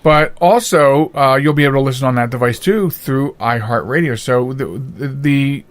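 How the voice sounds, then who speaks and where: A man's voice: medium pitch, moderate pace, no echo. A man hosting a podcast in casual, chatty, rambling and jokey style. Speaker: podcast host. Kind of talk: casual chat.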